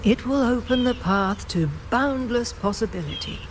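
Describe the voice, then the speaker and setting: A woman's voice: on the high side, lively intonation, moderate pace, background noise, natural voice. An actress playing a serene old grandmother seer who speaks in cryptic, soothing guidance in a video game. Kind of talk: solemn video game dialogue.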